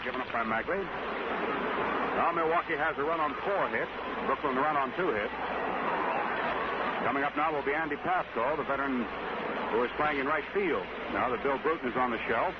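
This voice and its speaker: A man calling a live baseball game on the radio, brisk and surging into excitement on big plays, heard through an old 1950s broadcast. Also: mid-range voice, dull audio, heavy background noise. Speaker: play-by-play announcer.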